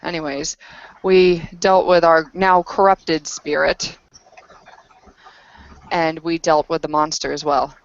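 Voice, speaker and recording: A woman playing a battle-hardened, solemn werewolf warrior back from exile in a tabletop roleplaying game, telling her story deliberately. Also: deep voice, clean tone, no room sound, muffled recording.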